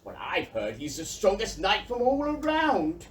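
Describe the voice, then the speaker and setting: A woman's voice: mid-range voice, huge pitch swings, a little slow. Woman as a wildly swooping, flustered village spokesperson. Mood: deferential. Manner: hesitant, formal, fussy. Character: flustered, deferential village spokesperson welcoming a knight. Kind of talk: children's audio play acting. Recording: distant microphone, background noise.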